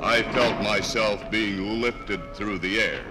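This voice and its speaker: An ancient lump of iron ore speaks with droll pomposity in an old radio play. The voice is very low and slow, each phrase weighed, with music on the vintage recording. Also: male voice. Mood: solemn wonder. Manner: deliberate, pompous, aphoristic. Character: Iron, million-year-old talking ore, droll and unhurried. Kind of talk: 1950s radio dramatization.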